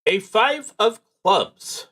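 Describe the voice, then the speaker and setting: A man streaming a solo tabletop roleplaying game, musing aloud in a halting way and reading out prompts as he plays. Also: high-pitched, very animated, pushed and bright. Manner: halting, musing, pauses mid-sentence.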